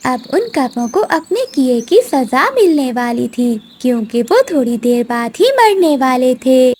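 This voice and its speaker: High-pitched woman narrating a children's story. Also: sing-song, measured pace, a little mellow.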